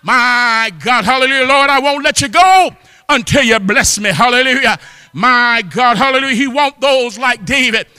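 A man preaching a fervent church sermon, exhorting with repeated exclamations of praise and often rising into shouts. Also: very high voice, moderate pace, clear, resonant tone, clean studio sound. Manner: impassioned, often breaking into shouts. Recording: long hall reverb.

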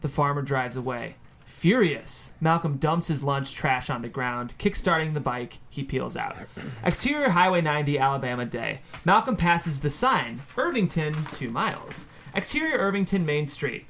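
A man's voice: a little high, animated, normal speed, fairly bright tone, slight background noise. A man reading scripted stage directions as the narrator of a screenplay table read.

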